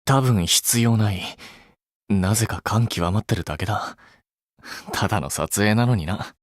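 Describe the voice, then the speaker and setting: A man's voice: on the low side, measured pace, no echo, clean studio sound, close to an everyday voice. A man playing an earnest, blunt young male idol in a Japanese mobile game, with a restrained way of talking. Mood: cool, steadying himself.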